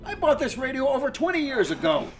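A man's voice: very high voice, huge pitch swings, fast talker, faint music behind, heavily acted. A middle-aged man playing a bewildered ordinary husband, hesitant and stammering, in a film drama. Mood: indignant. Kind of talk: amateur film drama acting.

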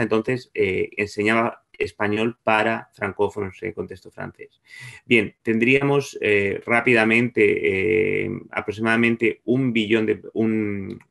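A man's voice: lowish voice, gravelly, a bit bright. A man in his thirties giving an explanatory academic lecture over a video call, often rephrasing and correcting himself as he goes. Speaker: presenter in an academic seminar. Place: indoor room on a video call. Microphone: video call microphone.

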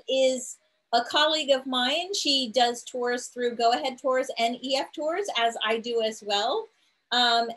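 A woman's voice: a little high, a bit bright, low-quality recording. A middle-aged woman introducing a virtual tour over a video call, chatty with occasional fillers. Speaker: host, tour organizer. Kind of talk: webinar introduction. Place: home room.

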